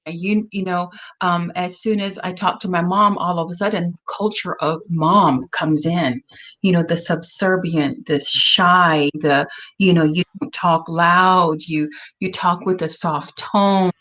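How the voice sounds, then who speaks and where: Thoughtful, halting woman host. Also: lowish voice, fairly even intonation, a bit bright. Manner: thoughtful, halting, many restarts. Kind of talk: casual conversation on a video podcast.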